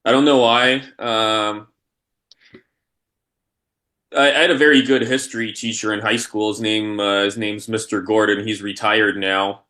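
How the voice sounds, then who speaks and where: Bright, forceful-voiced man answering haltingly. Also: on the low side, steady tone. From Polish-born, raised in the United States. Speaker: interview guest, history scholar and university teacher. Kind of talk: conversational interview answers.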